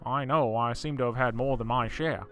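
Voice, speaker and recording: A man's voice: medium pitch, fairly even intonation, moderate pace, a bit bright, faint music behind, natural voice. Man playing a doting, mild older professor. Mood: apologetic. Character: older absent-minded professor, doting, mild, unsettlingly matter-of-fact. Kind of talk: horror comic audio drama.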